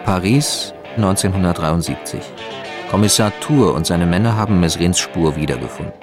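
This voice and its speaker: Low-voiced male narrator over guitar music. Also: lively intonation, moderate pace. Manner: factual, announcing. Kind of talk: scripted documentary-style radio drama narration.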